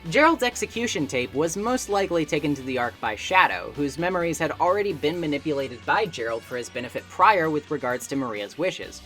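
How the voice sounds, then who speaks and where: A woman with a low voice narrates a scripted video essay. Her intonation is lively and moves around a lot. She keeps it conversational, slipping in wry asides as she explains. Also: fairly quick, hardly any pauses, faint music behind.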